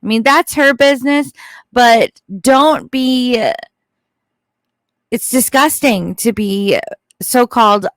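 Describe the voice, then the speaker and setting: A woman's voice: medium pitch, clear, resonant tone, no room sound. A woman giving casual, opinionated true crime commentary in a blunt, informal way.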